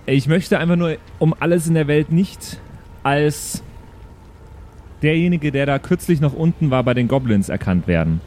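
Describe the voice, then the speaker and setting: A man's voice: on the high side, moderate pace, a little mellow, a little hiss or hum. A man chatting casually as a player in an improvised tabletop game.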